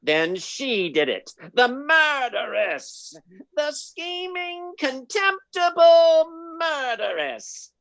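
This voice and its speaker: An actor playing an agitated, accusing old rich man in a radio detective drama, halting and melodramatic. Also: very high-pitched, sing-song, creaky, fairly bright tone, dry recording, full character voice. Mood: outraged accusing. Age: older.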